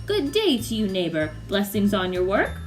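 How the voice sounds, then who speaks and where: A woman's voice: medium pitch, wildly swooping pitch, normal speed, soft background music. Woman as an eager, cocky young farm lad. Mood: sweetly polite. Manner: boastful, exclamatory.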